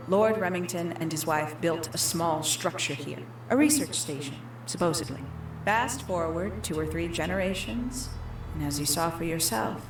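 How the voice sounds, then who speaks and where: A woman playing a calculating young villain in a video game, confessing her schemes in measured, deliberate, theatrical storytelling. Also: on the low side. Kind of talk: scripted video game character monologue. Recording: echoey, with music behind.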